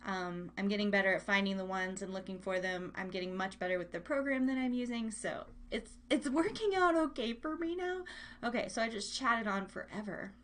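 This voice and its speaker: A woman's voice: medium pitch, fairly quick, fairly bright tone. A woman hosting an internet radio podcast, chatting casually to listeners in a rambling, self-deprecating way. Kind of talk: casual live chat to listeners.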